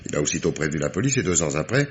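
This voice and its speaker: Radio mystery inspector: dogged and ironic, deep, lively delivery, faint recording noise. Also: male voice, rapid-fire. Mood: deferential, reporting. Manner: ironic, emphatic, theatrically exasperated diction. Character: sardonic, dogged male Scotland Yard police inspector. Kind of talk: French radio mystery play acting.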